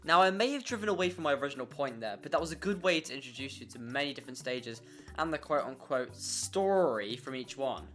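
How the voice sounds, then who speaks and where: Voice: mid-range voice, expressive, a little fast, fairly bright tone. A narrator reading a scripted video game review in a wry, mildly sweary, hyperbolic way. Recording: music playing behind. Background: background music.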